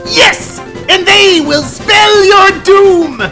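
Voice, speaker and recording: A woman's voice: very high-pitched, very slow, full character voice. A woman voicing a scheming, book-thieving scholar who is hammy, indignant and easily flustered, in a comedic fan dub. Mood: menacing bluster. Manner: theatrical, blustering, easily flustered. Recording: music behind the voice.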